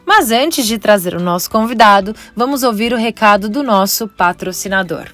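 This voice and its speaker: A woman hosting a travel podcast, reading her script in a clear, inviting way. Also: medium pitch, expressive, normal speed, fairly bright tone, soft background music. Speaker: podcast host.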